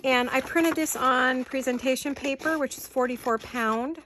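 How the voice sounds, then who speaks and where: A woman with a sore throat chatting casually to viewers while she crafts at home, thinking out loud as she works. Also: sits high, moderate pace, a little hiss or hum. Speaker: craft vlogger. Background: scissors and crafting clicks.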